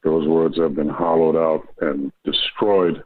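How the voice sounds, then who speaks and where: A man's voice: rumbling low, fairly even intonation, measured pace. A man calling in to a talk show, speaking in a florid, rambling way with many fillers. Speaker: caller. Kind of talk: phone-in commentary. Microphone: phone. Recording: tinny phone line with faint hiss.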